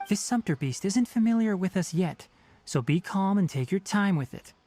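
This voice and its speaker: A man's voice: high-pitched, animated, normal speed, warm tone, faint music behind. An actor playing a young male forest watcher in a video game, analytical and precise, with a dry, blunt manner. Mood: dry, instructing. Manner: precise, scholarly, matter-of-fact.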